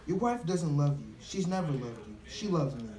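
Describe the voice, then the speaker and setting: An earnest, intense romantic rival, voiced by a puppet-show performer, insisting on his claim. The delivery swings widely in pitch. It is recorded in a roomy space with the mic far off. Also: sing-song, moderate pace, crowd noise, lightly acted. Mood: insistent. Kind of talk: amateur puppet show reading.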